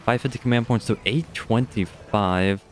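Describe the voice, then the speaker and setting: A young man with a deep voice doing casual, chatty live commentary on a strategy game match, speaking into a studio microphone and swearing offhandedly. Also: moderate pace, soft background music. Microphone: studio dynamic microphone.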